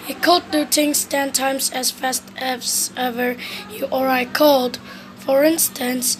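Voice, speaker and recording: A woman reading a children's storybook aloud, with occasional halting slips. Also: high-pitched, slight background noise, low-quality recording. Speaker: storybook reader.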